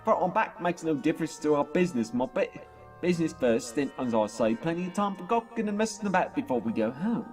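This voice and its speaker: A doting, rustic pony father played by a man, animated and fairly high-voiced. He talks in homely, folksy turns in a storybook drama, a little distant from the mic. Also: brisk, close to an everyday voice. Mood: gently cautioning. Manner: rustic, folksy phrasing with tags at sentence ends. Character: doting, folksy working-class pony father delivering goods. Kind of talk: dramatised fan fiction audio. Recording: distant mic, music behind.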